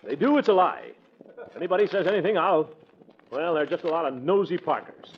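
An American actor playing a pompous, easily flustered middle-aged uncle in a theatrical 1940s radio sitcom style, indignant and sputtering. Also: male voice, fairly high pitch, a little fast, close to an everyday voice. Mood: blustering, defensive. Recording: muffled, noisy 1940s radio recording.

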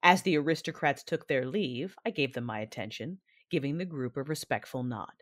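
A woman playing a cool, shrewd strategist with a dark streak, narrating in the first person in a sci-fi audio drama. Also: very deep voice, animated, fairly quick, no echo, clean studio sound. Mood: cool, calculating.

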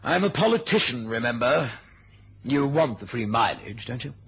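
An actor playing a dry, amused senior British politician in a 1970s radio drama, urbane and clipped. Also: male voice, mid-range voice, big pitch swings, vocal fry, slightly rough, heavily acted. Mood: amused, brisk. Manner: urbane, unhurried, clipped establishment speech. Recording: dull old radio recording.

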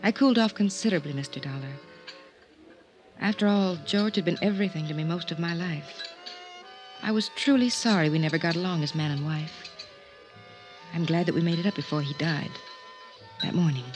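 An actress playing a cool, candid, imperious widow in a 1950s radio drama, composed and direct. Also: female voice, fairly low pitch, brisk, pauses often, natural voice. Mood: candid, reflective. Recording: 1950s radio recording with music.

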